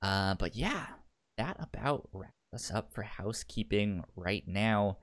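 A man's voice: fairly low pitch, lively intonation. Stammering man chatting on a podcast. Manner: halting, many stammered restarts. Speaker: podcast co-host. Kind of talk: casual podcast chat.

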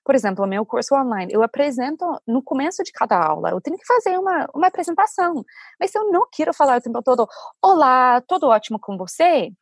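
Woman, teacher guest on a podcast, chatty n lively, dry close sound. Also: high voice, fast, husky, dry recording. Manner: animated, chatty, searching for words. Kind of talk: casual podcast chat.

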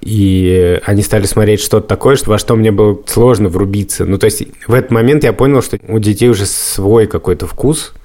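Low-voiced man in casual conversation. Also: brisk, few pauses, mellow. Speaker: podcast co-host.

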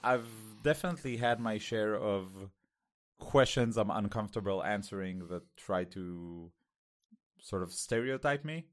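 Israeli man, low voice, halting chat. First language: Hebrew. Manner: halting, many fillers and restarts. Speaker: podcast co-host. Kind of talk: casual podcast chat.

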